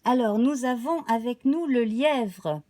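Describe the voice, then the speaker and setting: A woman reads tarot cards for a live audience, her voice slightly hoarse. She speaks slowly and haltingly, filling pauses with small sounds, in a warm, mellow tone. The recording is dry and close. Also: fairly high pitch, no echo. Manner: slow, halting, many fillers. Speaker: tarot reader. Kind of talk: live tarot card reading.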